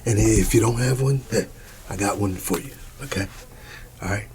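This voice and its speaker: A man doing a soft-spoken ASMR roleplay as an easygoing, quirky schoolteacher, often dropping into a whisper and repeating short, folksy, reassuring phrases. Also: lowish voice, moderate pace. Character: quirky, easygoing male schoolteacher addressing his class. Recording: clearly audible background noise.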